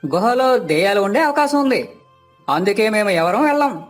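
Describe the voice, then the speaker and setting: A man's voice: sits high, big pitch swings, normal speed, soft background music. A man voicing a plaintive, wary, superstitious villager in a moral story. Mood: fearful.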